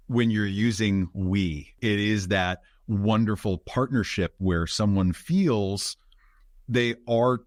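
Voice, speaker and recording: A man hosting a conversational interview, speaking in a reflective, articulate way with measured phrases. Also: on the low side, no echo, studio quality. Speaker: podcast host, interviewer.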